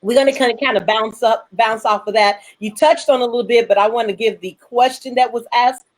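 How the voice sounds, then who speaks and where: A woman's voice: fairly high pitch, fairly even intonation, a little fast. A woman moderating a panel discussion in a conversational style, as its host.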